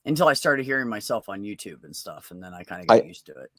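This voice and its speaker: Man, mid pitch, very animated intonation, teasing, laughs a lot, dry close sound. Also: quick pace, no echo. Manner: teasing, laughs often, swears. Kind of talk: casual chat.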